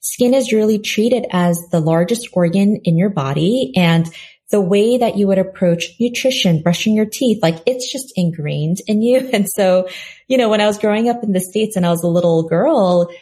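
A woman from South Korea, a skincare company founder, answering interview questions with enthusiastic, animated storytelling. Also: mid-range voice, normal speed.

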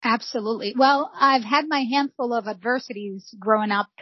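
A woman's voice: fairly high pitch, moderate pace, no room sound. A middle-aged woman from Brazil, earnestly telling her life story as a guest on an interview podcast. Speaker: guest, real estate founder. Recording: dull lo-fi, dry sound.

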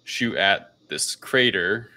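A man's voice: low-pitched, expressive, slow, a crowd in the background. A man hosting a podcast, recapping a film in casual, loose, informal conversation. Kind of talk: casual film review chat.

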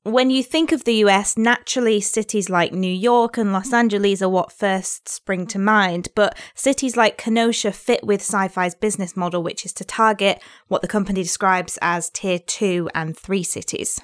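A woman's voice: medium pitch, steady tone, moderate pace, few pauses, smooth, dry recording. Woman reading quotes in a dry recording. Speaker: reader of quotes. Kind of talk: scripted reading of interview quotes.

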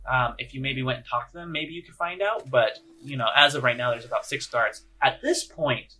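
A man's voice: medium pitch, fairly quick, bright, forceful tone. Conversational man running a tabletop game. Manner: conversational, second-person storytelling. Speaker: game master. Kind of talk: improvised tabletop game narration.

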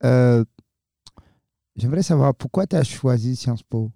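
A man's voice: mid-range voice, unhurried, vocal fry, mellow, dry recording, clean studio sound. A man hosting a radio podcast, interviewing casually and informally, with English interjections dropped into his French.

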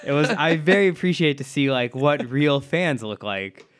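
A man's voice: a little high, animated, moderate pace. A young man co-hosting a podcast, speaking in a dry, understated way with wry comebacks. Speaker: podcast co-host. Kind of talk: casual podcast banter.

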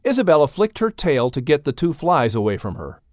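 A man's voice: a little high, very animated, brisk, a little mellow, dry recording, clean studio sound. A man reading a children's story aloud as a scripted audiobook narrator.

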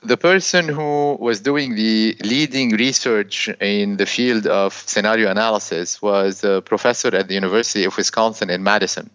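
A man in his fifties from Lebanon, a guest telling his career story in a measured, thoughtful way with many fillers. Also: fairly low pitch, a bit bright, clean tone, no room sound. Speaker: guest, hedge fund founder. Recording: dull, lo-fi sound.

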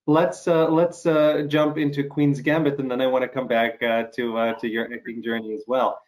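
A middle-aged man from Ukraine hosts a relaxed interview over a video call. He speaks at an ordinary pitch, pausing often with fillers and restarting his sentences. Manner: halting, frequent fillers and restarts. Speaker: interview host.

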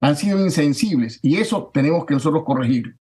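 Forceful man in his fifties. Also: a little high, expressive, fairly quick. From Ecuador. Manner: forceful, declamatory, repeats phrases for emphasis. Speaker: political candidate. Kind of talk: campaign interview answers.

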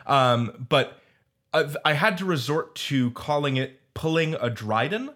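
A man's voice: on the high side, lively intonation, a little slow, a bit bright. A man speaking in an academic panel discussion, articulate and wordy with scholarly phrasing. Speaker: roundtable panelist, audio fiction creator.

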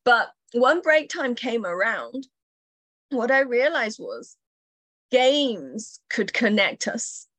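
A woman's voice: fairly high pitch, expressive, slow, fairly bright tone, dry recording. A woman from China, an entrepreneur, telling her story in an interview with light laughter. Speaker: entrepreneur guest.

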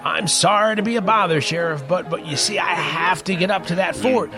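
An actor playing a nervous but persistent young reporter in a western audio drama, halting and pleading. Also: male voice, sits high. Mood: pleading, insistent. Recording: music behind the voice.

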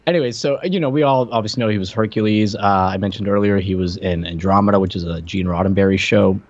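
A man's voice: fairly low pitch, quick pace, darkish tone. A man from Puerto Rico co-hosting a casual film and TV podcast in a teasing, joking way. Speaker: podcast co-host. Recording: slightly dull, lo-fi audio.

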